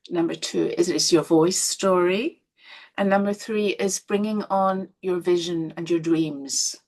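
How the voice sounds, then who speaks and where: A middle-aged woman with an Irish accent, hosting a live online webinar and encouraging participants with gentle, soft-spoken asides. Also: fairly low pitch. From Ireland. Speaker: webinar host and women's coach.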